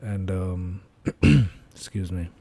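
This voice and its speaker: Hoarse man, low and mellow, flat delivery, relaxed live trading commentary. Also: slow, dry recording. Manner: relaxed, thinking aloud with many fillers. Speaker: trading livestreamer.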